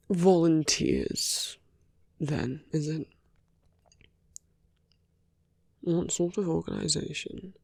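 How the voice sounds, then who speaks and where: A man playing a suave, persuasive villain in an ASMR roleplay, speaking in measured rhetorical questions and slipping into near-whispers. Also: fairly high pitch, creaky, slightly rough, dry recording, full character voice. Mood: teasing, probing. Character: suave male villain, persuasive, teasing, self-justifying. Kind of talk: ASMR roleplay audio drama.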